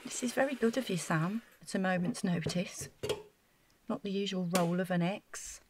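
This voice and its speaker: An actress playing an anxious, self-doubting woman in an audio drama, speaking in a flustered, halting way. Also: fairly low pitch, a little mellow, a little hiss or hum, close to an everyday voice. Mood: subdued, shaken.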